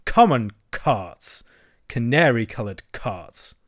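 A man voicing a dreamy, self-absorbed toad in a storybook, murmuring rapturously in drawn-out phrases. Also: medium pitch, big pitch swings, dry recording, studio quality. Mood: dreamy, gloating. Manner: murmuring, rhapsodic, drawn-out delivery.

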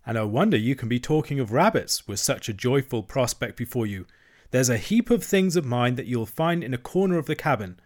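A man's voice: medium pitch, expressive, a little fast, lightly acted. A man voicing a hearty, shrewd middle-aged barge woman who speaks politely with a sly edge.

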